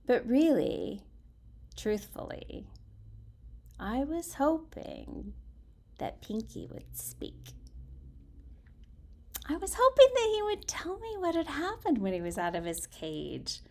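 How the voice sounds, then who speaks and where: Slow, animated woman storyteller. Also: sits high. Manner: theatrical, deliberate, drops into hushed emphasis. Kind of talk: dramatic, expressive story reading.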